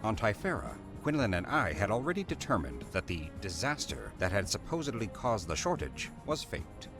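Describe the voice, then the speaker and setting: A man's voice: fairly low pitch, wildly swooping pitch, moderate pace, music in the background. A man playing a stern, focused Jedi mentor in a fan comic dub. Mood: sober.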